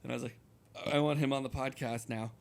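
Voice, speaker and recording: A man's voice: medium pitch, steady tone, fast, gravelly. Rambling, crude young man chatting. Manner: crude, rambling storyteller with frequent swearing. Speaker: podcast co-host. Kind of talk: casual chat.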